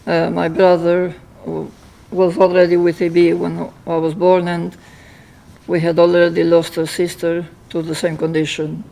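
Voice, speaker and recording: A man in his thirties from Greece with a Greek accent, giving a personal testimony in a plain, halting way. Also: on the high side, completely flat delivery, creaky, mellow, low-quality recording. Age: 30s. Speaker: patient speaker telling their own story. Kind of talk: personal testimony in a public talk.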